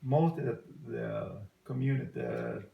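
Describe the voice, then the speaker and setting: Salvadoran guy in his 60s, gravelly voice, hesitant english with lots of uhs, echoey room. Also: medium pitch, muffled recording, crowd noise. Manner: halting, many uh fillers, non-native English. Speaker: interviewee. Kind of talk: casual family interview.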